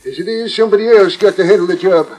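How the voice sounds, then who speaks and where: An older, plain-spoken rancher in a vintage radio Western. His voice is high for a man, folksy and earnest, with an American Western accent. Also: rapid-fire, darkish tone, rough recording. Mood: frank, concerned. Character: aging, worried, plain-spoken male ranch owner. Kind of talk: 1940s radio Western acting.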